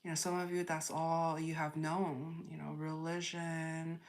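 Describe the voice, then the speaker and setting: Female livestream tarot host: lowish voice, unhurried, halting spiritual chat, repeats phrases while thinking aloud. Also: level tone. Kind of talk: spontaneous spiritual reading, chatting with live viewers.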